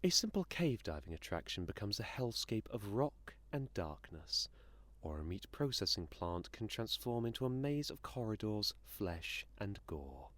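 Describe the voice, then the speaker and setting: Man playing a low, condescending, menacing inhuman being. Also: sing-song, fairly bright tone. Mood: sardonic lecturing. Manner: sardonic, theatrical, deliberate. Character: menacing, condescending inhuman entity, male, drily aloof. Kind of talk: audio drama acting.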